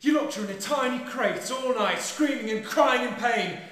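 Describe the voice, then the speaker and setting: A man's voice: very high voice, moderate pace, fairly bright tone. A man acting an anguished, vengeful young agent, emotional and threatening, in a radio drama. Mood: enraged, accusing. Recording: echoey, reverberant hall.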